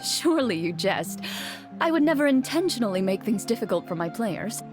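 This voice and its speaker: A woman voicing a composed young champion duelist in a video game, courteous and measured, with understated formal delivery. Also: a little high, very animated. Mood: earnest, composed. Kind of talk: video game character dialogue. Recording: music playing behind the voice.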